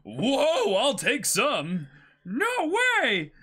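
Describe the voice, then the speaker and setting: A man voicing a cheerful, impulsive young pirate captain from a comic, with an excitable, exclaiming delivery. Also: extremely high pitch, very slow, husky, pitched above the performer's own voice, heavily acted. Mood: carefree.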